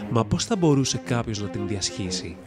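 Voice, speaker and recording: A man's voice: on the low side, big pitch swings. A man reading scripted fairy-tale narration as a storybook narrator. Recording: music bed behind the voice. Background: instrumental music.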